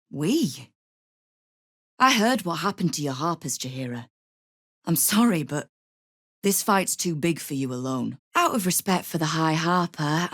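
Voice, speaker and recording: Low-voiced, sardonic crime boss. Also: female voice, no room sound, exaggerated voice. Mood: menacing. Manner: wry, dry, veiled threats. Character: shrewd, sardonic female crime guild boss, hard-edged. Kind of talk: scripted video game voice acting.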